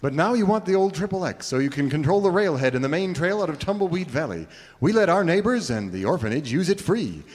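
An actor playing an upright cowboy ranger, earnest and pompous, in a parody of old radio serial melodrama. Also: male voice, a little high, expressive, fast talker, in a large hall, lightly acted. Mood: stern, noble.

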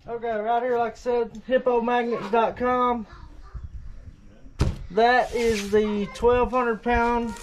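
A man's voice: very high voice, flat delivery, takes it slowly, a little hiss or hum. A man casually filming an unboxing and test in his workshop, talking in a rambling, offhand way with lots of fillers. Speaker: video host. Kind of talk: casual unboxing and testing vlog. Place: workshop, by a truck.

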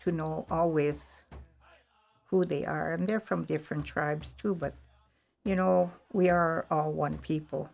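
An elderly woman whose first language is Dakota talks in a low, level, gravelly voice. She is slow and earnest, and a flute plays clearly in the background. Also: steady tone, a little mellow. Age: older. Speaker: elder interviewee. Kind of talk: reflective interview. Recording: flute music underneath.

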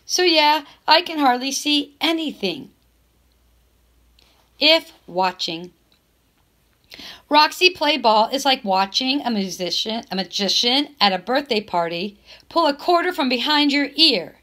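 A woman reading a children's novel aloud in expressive narration, with measured phrasing and emphatic stresses. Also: high-pitched. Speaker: teacher reading a book aloud.

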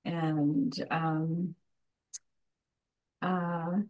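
Older lady on a video call, really slow talker, hesitant, lowish voice. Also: level tone, phone-quality sound. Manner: hesitant, searching for words. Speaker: discussion participant. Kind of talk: reflective, unscripted discussion. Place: indoor room at home, on a video call. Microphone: video call, computer microphone.

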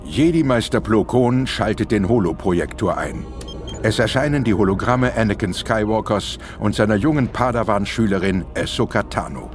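A man's voice: lowish voice, lively intonation, normal speed. A man narrating a science fiction audio drama in a dramatic, scripted style. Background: orchestral music.

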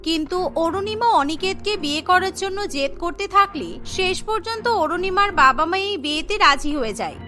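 Female cartoon story narrator, high and bright, over light music. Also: lively intonation, brisk, few pauses.

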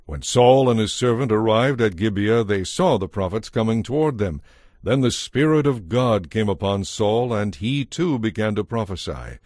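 A man's voice: lowish voice, lively intonation, normal speed, darkish tone, no room sound, studio quality. A man narrating a dramatized audio Bible as a scripted story.

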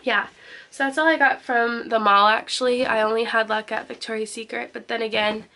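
A young woman chatting to her camera while sick with a cold, her voice slightly nasal, bright and edged with vocal fry. She rambles along with fillers, filming close up in a parked car and later in a closet. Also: a little high, fairly even intonation, a little fast. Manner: chatty, rambling with ums and likes. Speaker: vlogger. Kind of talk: casual chat to camera. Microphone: handheld camera close up.

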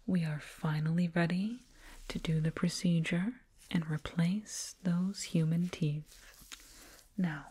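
A woman voicing a soothing, condescending alien dentist in a breathy whispered ASMR roleplay, close to a binaural microphone. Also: lowish voice, mellow. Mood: pleased, soothing. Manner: slow, soothing, murmured repetitions. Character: condescending, soothing alien dentist, fussing over a little human.

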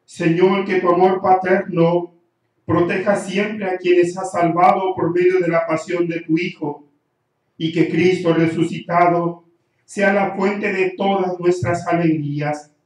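Middle-aged priest, very echoey church. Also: male voice, high-pitched, little pitch movement, a little mellow. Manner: slow, measured recitation. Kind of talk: liturgical prayer at Mass. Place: small church, at the altar, indoors. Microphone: handheld mic at times, heard from some distance. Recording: very echoey church, far from mic.

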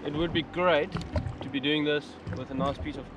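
A man's voice: a little high, lo-fi sound, heavy background noise. A young man, chatting casually as he fishes from a jet ski on the open sea and laughing often. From New Zealand. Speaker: fishing vlogger. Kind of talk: casual fishing vlog commentary. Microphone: action camera mounted on the ski.

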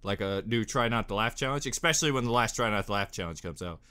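A man's voice: on the low side, fast, clear, resonant tone. A young man giving casual, joking reaction commentary into a desktop microphone in his bedroom. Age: young adult. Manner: animated, joking. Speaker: reaction video host. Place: small cluttered bedroom. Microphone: desktop condenser microphone.

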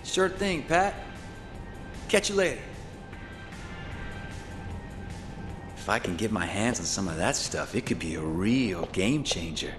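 An actor playing a flippant, streetwise survivor in a video game, talking in slangy, joking style. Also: male voice, fairly low pitch, very animated, moderate pace, over music. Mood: casual. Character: wisecracking streetwise survivor, adult man, cocky and flippant. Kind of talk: video game cutscene acting.

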